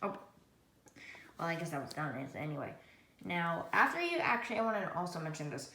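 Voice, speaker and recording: An echoey room recording with loud noise carries a woman's slightly low voice. She demonstrates a gadget casually as a reviewer. Her delivery rambles, moving through items one at a time. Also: very animated, brisk, vocal fry. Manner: rambling, reading menu items aloud one by one. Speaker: product reviewer.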